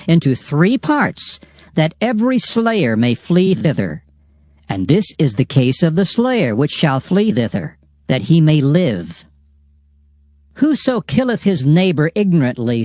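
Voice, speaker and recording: A man's voice: a little high, a little mellow, no room sound. A man acting as a grave, commanding narrator in a dramatized King James scripture reading, solemn and declamatory. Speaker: Bible narrator.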